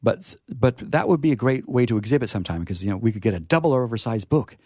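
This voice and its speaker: Male host for live radio chat: very expressive pitch, discursive and a touch theatrical, in a dry studio sound. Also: quick pace, no echo. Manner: rambling, playfully theatrical. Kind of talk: chatty live radio talk.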